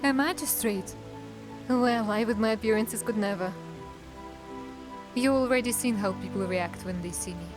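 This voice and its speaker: A woman playing a wry, guarded dark elf assassin in a cinematic fantasy drama, with a musing, teasing manner. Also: medium pitch, moderate pace, long pauses, over music, natural voice. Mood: playful.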